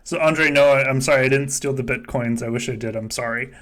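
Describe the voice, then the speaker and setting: A man's voice: medium pitch, a little fast, fairly bright tone. A man hosting a game stream in casual, joking chat, with wry, profane, ranting asides.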